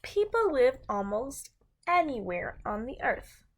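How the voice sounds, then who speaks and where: A woman's voice: high-pitched, very animated, a little slow. A woman reading a picture book aloud to young children and chatting to them in simple, encouraging language.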